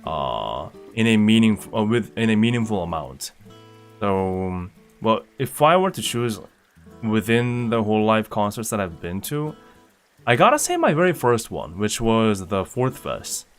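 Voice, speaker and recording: A young man from Indonesia chats loosely with his viewers, full of fillers and restarts. His voice sits on the low side. Soft guitar music plays faintly underneath. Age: young adult. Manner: relaxed, rambling with fillers and restarts. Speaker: streamer. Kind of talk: casual chat reading viewer comments.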